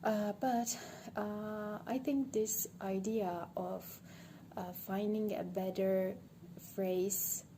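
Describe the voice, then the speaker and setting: A woman with a Turkish accent works through an idea out loud, hesitant and pausing often. She speaks slowly in a flat, even tone. Steady ambient noise sits behind her voice. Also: mid-range voice. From Turkey. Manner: hesitant, thinking aloud with frequent pauses. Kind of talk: unscripted spoken monologue for social media.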